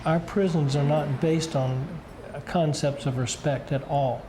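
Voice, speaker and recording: Male advocate, reflective interview delivery, moderately high pitch, noisy audio. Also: steady tone, a little slow. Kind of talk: reflective explanatory interview talk.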